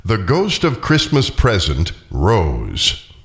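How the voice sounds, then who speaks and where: A man's voice: on the low side, wildly swooping pitch, slow, vocal fry, a bit bright, husky. A man narrating a classic story dramatically as scripted radio theater. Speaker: narrator and performer.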